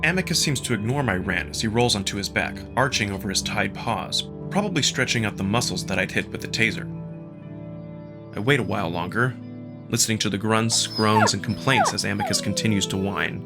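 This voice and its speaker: A man reading first-person fiction as a narrator, in an expressive, dramatic storytelling style. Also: lowish voice, brisk. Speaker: narrator and performer of a visual novel. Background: music.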